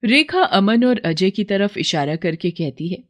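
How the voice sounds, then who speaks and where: A woman's voice: mid-range voice, lively intonation, unhurried. A woman storyteller reading scripted narration for a children's moral story.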